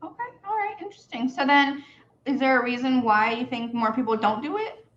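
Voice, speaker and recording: Woman host, high voice, friendly and chatty, dull lo-fi audio. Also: animated, a little fast, fairly bright tone. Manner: friendly, laughs often, many fillers. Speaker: immigration attorney and host. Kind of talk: hosted video interview.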